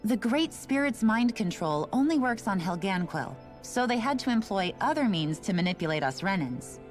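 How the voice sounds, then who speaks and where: A woman's voice: medium pitch, very animated, moderate pace, natural voice. An actress voicing a casual, resigned woman station worker in a video game. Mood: offhand. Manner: casual, offhand, matter-of-fact. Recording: game audio with faint music.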